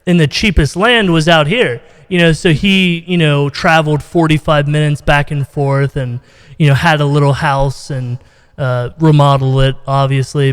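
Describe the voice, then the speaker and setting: A man's voice: a little high, clear, resonant tone. An American man hosting a casual interview, talking in a rambling, informal way full of "you know.". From United States, Ohio. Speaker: podcast host, interviewer. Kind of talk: casual video-call interview. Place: indoors, reverberant room on a video call. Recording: roomy, long reverb.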